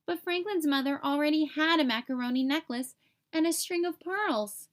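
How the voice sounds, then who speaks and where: Female storybook reader, high-pitched voice, expressive delivery for a young audience. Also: normal speed. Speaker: read-aloud host for young children. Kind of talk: children's storybook read-aloud narration.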